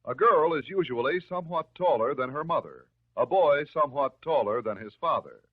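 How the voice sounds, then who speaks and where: An American man delivering scripted narration in the style of a 1950s educational film, measured, instructive and reassuring. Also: a little high, animated, breathy edge. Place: voice-over recorded for film. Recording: muffled, narrow-band 1950s film soundtrack.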